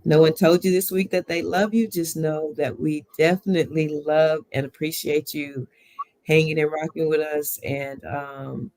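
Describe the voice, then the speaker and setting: A hoarse woman co-hosting a casual sports talk show, losing her voice to a cough. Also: low voice, steady tone, moderate pace. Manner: apologetic, warm sign-off. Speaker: co-host.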